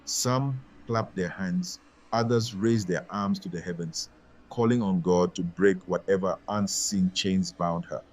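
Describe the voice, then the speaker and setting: Husky male folktale narrator. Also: deep voice, a little slow, a little mellow, poor audio. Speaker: storyteller narrator. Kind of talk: dramatic scripted folktale narration.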